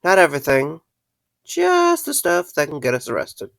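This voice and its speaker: An actor playing a young night guard, sarcastic but caring and a little weary, in an audio drama. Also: male voice, on the high side, wildly swooping pitch, moderate pace, vocal fry, fairly bright tone, no room sound, studio quality, exaggerated voice. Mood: awkward.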